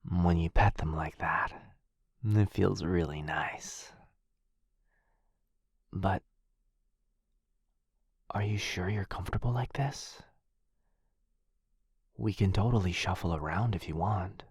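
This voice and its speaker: Casting: male actor as affectionate, nervous young dog-man roommate for boyfriend ASMR; low, soft-spoken and hesitant, very close and dry. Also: slow pace, long pauses, slightly rough, dry recording, heavily acted. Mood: content, tender. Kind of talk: boyfriend ASMR audio roleplay.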